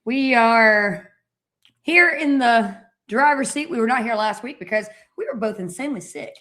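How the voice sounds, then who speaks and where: A woman's voice: fairly high pitch, animated, moderate pace, fairly bright tone. A woman co-hosting a casual live stream, upbeat and keeping the show on track. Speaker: co-host.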